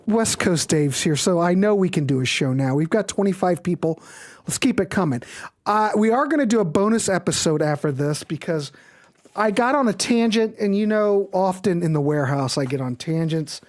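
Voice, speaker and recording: High-voiced, enthusiastic man hosting live. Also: animated, normal speed. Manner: enthusiastic, rambling, informal. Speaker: host of a live music show. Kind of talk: casual live stream commentary.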